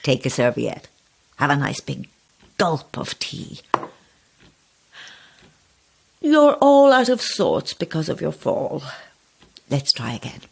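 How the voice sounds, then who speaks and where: A woman playing a sly, motherly hostess in her seventies in an audio drama, husky, blunt and playful, cooing endearments. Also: low-pitched, heavily acted. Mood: coaxing, wry. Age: 70s. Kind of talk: audio horror drama acting.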